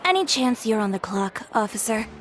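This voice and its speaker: A woman playing a confident, sharp-tongued boutique owner with a fiery temper in a video game, cool and sardonic. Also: a little high, very animated, moderate pace. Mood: brisk, sardonic. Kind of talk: video game character voice acting. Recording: game music clearly behind the voice. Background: game music.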